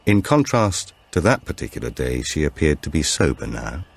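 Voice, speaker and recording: A man's voice: extremely low pitch, very animated, normal speed, gravelly, breathy edge. A man narrating a supernatural thriller audiobook from a script.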